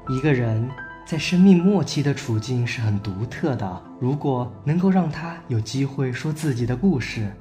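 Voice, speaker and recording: A man as a mellow, gently instructing young traveler. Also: medium pitch, lively intonation, moderate pace, few pauses, soft background music, natural voice. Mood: reflective. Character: free-spirited male cousin, world traveler, gently instructing. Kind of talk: amateur radio drama reading.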